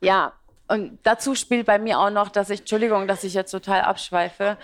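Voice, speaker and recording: A chatty woman in her twenties, born in Iraq with Aramaic as her mother tongue, talks about her work as a blogger. She rambles, laughs often and fills her pauses with hesitations. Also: medium pitch, fairly even intonation, a little hiss or hum. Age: 20s. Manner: talkative, rambling, frequent laughter and fillers. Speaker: beauty and fashion blogger, guest. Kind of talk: interview answers.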